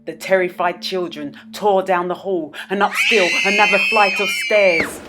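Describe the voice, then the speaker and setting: A woman narrating a children's story as a storyteller, with dramatic, expressive delivery. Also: fairly low pitch, moderate pace, raised voice, very noisy. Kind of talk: children's read-aloud narration.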